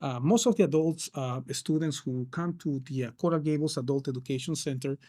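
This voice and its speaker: A man's voice: on the high side, brisk, warm tone. A man from Peru, a counselor answering interview questions with many hesitations and restarts. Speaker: case manager counselor.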